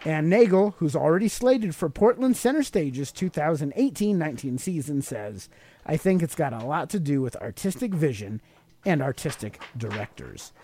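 A man's voice: on the high side, very animated, moderate pace, mellow, slight background noise. An American man hosting a podcast, talking casually and sometimes reading aloud in an informal radio style. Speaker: podcast host.

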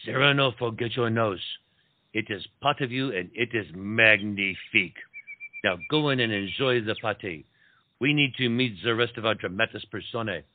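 An actor playing an urbane French marquis and officer, a loyal friend who coaxes and reassures, dropping French words into comic dialogue. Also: male voice, fairly low pitch, moderate pace, phone-quality sound, lightly acted. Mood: coaxing, patient. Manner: coaxing, sprinkles speech with French words. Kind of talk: theatrical comedy audio-play acting.

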